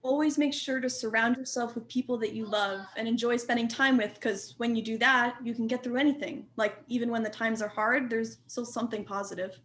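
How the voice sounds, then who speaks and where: Woman acting as a hopeful, higher-voiced speaker. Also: steady tone, fairly quick, hardly any pauses, a bit bright, close to an everyday voice. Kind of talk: documentary theater monologue, conversational.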